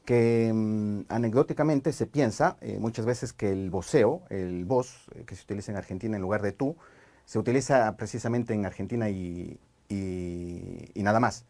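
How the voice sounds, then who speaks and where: A man from Ecuador with an Ecuadorian highland accent, a Spanish teacher chatting on a podcast, thoughtful and hesitant with many fillers. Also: moderate pace, a little mellow. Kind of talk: casual podcast chat.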